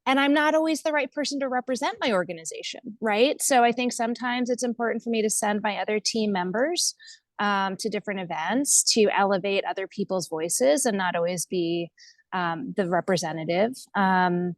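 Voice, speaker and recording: A woman's voice: mid-range voice, moderate pace, dry recording. An American woman speaking informally as a webinar guest, thoughtful, hesitant and self-effacing as she reflects. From United States. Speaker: guest speaker, nonprofit leader. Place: online video call.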